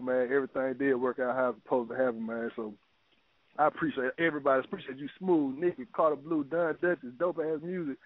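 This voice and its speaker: A man's voice: fairly high pitch, no room sound. An American man hosting an internet radio show, chatting casually in slang and often repeating his phrases. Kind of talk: casual chat. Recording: tinny phone-line internet radio.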